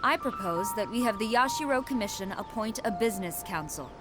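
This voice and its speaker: A woman's voice: mid-range voice, animated, brisk, music in the background, close to an everyday voice. A woman voicing a stern female commander in a video game, firm and formal.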